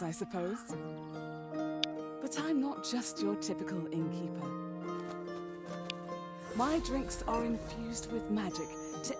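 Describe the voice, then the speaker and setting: Wry fantasy innkeeper, animated storyteller over music. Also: female voice, on the high side. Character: female tavern keeper in a fantasy world, wry and chatty. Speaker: game narrator. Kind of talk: scripted storybook narration.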